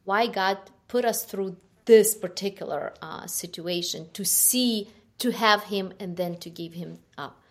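A middle-aged woman from Ukraine with a Ukrainian accent, giving her personal testimony as a guest in a slow, halting, soft-spoken way. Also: mid-range voice.

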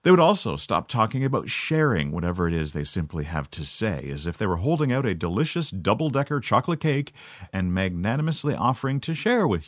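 A man's voice: on the low side, no echo. A middle-aged man from Canada delivering a sardonic, scripted monologue as podcast host. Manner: sardonic, dry.

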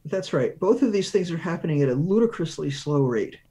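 A man giving a measured, deliberate explanation as an expert guest in a science interview, stressing key words. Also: on the high side, animated, dark tone. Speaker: guest research professor, physicist.

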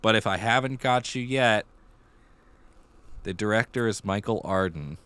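Male podcast co-host, lowish pitch, casual chat, explanatory, conversational delivery. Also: unhurried, a bit bright, smooth, no echo. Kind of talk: casual chat about musical theater news.

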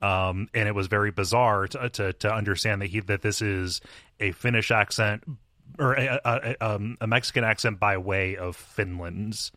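A man with a low but bright, forward voice talks games on a dry, close mic. He speaks hesitantly, restarting phrases and laughing along. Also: steady tone, normal speed, no echo. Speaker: podcast co-host. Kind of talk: casual game discussion.

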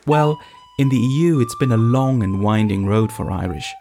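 A man from eastern Germany narrating a reported feature in a measured, scripted way. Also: mid-range voice, over music. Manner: measured, storytelling delivery.

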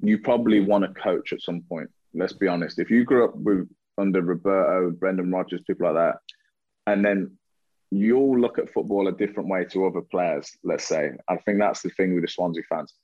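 A man's voice: low voice, moderate pace, no room sound. A man in his thirties giving frank, easygoing interview answers and laughing easily. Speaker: retired footballer guest. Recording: dry, dull sound.

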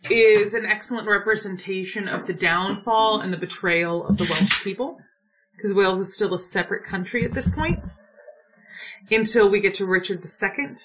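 A woman's voice: mid-range voice, rough recording. A young adult woman co-hosting a casual chat, retelling a play in an irreverent, swearing, playful way. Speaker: podcast co-host.

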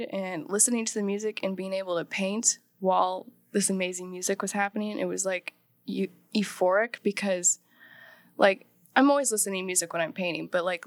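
A woman's voice: medium pitch, no room sound. Chatty young woman, dry close sound. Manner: chatty, many "like" fillers, laughs often. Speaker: painter and singer, guest. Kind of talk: casual interview chat.

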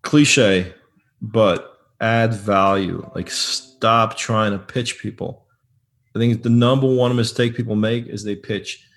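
Loud, emphatic man with a low voice. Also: steady tone, slow. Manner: loud, emphatic, repeats words. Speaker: guest, business owner. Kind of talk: casual interview talk.

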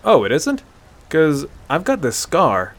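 An indignant young fantasy hunter, voiced by a man narrating, stubborn and sarcastic. His fairly high male voice moves up and down freely as he bluntly challenges and questions. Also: wildly swooping pitch, slow pace, heavily acted. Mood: challenging, sarcastic. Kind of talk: dramatic fantasy audiobook character voice.

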